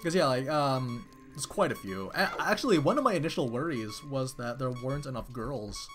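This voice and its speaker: A male streamer talks casually to his viewers while playing, his pitch leaping up and down in a lively, animated way. He rambles excitedly and keeps restarting his sentences. Faint game music plays underneath. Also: normal speed.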